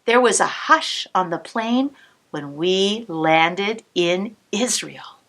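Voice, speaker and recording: A woman cantor reading a picture book aloud to children, pausing to explain the pictures, sometimes dropping to a whisper. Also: medium pitch. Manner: slow, expressive storybook reading with soft whispered asides. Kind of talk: read-aloud storytime with asides to kids.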